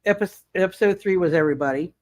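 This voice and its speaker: A man with a fairly high voice hosts a casual roleplay stream as game master. He speaks haltingly, doubling back over his words. The sound is close and fairly dry. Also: no echo. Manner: halting, repeats words and restarts often. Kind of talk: casual livestream chat and recap.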